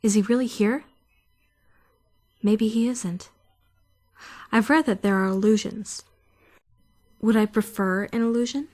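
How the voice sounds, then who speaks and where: A woman's voice: mid-range voice, unhurried, pauses often, a little mellow, close to an everyday voice. A woman playing an anxious, brooding fourteen-year-old schoolgirl who narrates her self-doubting thoughts in a visual novel fandub. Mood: pensive, uneasy. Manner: introspective, questioning, self-critical. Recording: music behind the voice.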